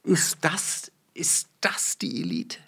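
A man's voice: fairly high pitch, very animated, slow, gravelly, pushed and bright, whispered. A man from Germany, talking in a heated conversation with emphatic rhetorical questions and blunt swearing.

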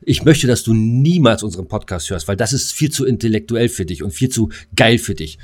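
A man's voice: fairly low pitch, expressive, a little fast, darkish tone. A man co-hosting a podcast in casual, colloquial banter, blunt and given to heavy swearing. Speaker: podcast co-host.

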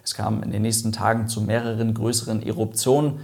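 A man's voice: lowish voice, level tone, brisk, creaky, a little mellow. A man hosting an online aviation video, explaining events in a chatty, lightly joking way. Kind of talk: casual explainer narration.

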